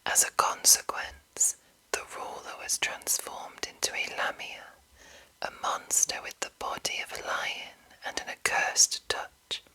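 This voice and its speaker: Slow, bright whispering woman. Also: low-quality recording. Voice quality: breathy unvoiced whisper. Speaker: host of a whispered fantasy reading series. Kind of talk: whispered ASMR commentary and read-aloud.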